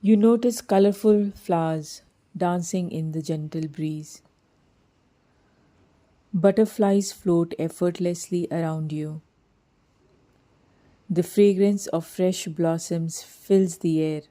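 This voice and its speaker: A woman narrating a scripted guided meditation in a soothing, gently measured manner. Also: on the low side.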